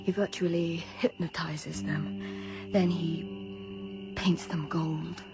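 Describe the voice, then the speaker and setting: A woman's voice: on the low side, little pitch movement, slow. An actress playing a fierce, bitter young woman seeking revenge in a radio drama. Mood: bitter grief. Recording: radio play with loud music underneath.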